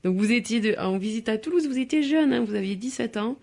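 A woman's voice: a little high, animated, fast, creaky, dark tone. A woman hosting a casual radio interview, prompting her guest and adding her own recollections. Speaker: radio host.

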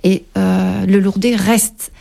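Woman guest author, mellow tone, reflective and halting, radio interview. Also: medium pitch, little pitch movement, vocal fry. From Paris, France. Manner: hesitant, many fillers, warm and reflective. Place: radio studio.

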